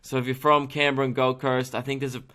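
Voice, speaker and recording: A man's voice: mid-range voice, flat delivery, quick pace, bright, forceful tone. Joking man hosting a podcast. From Australia. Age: 20s. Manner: joking, rambling, swears freely. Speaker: comedian and podcast host. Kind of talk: casual chat.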